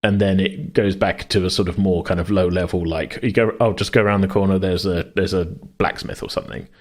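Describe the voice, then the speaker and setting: A man co-hosting a podcast in a casual worldbuilding chat, rambling as he thinks out loud. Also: low voice, steady tone, a little fast. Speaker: podcast co-host.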